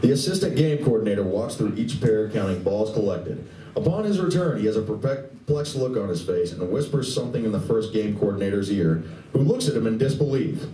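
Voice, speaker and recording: Male narrator, mid pitch, lively intonation, soft tone, scripted radio play reading, roomy distant recording. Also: fairly quick. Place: indoor convention room, live reading. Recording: distant, echoey convention room.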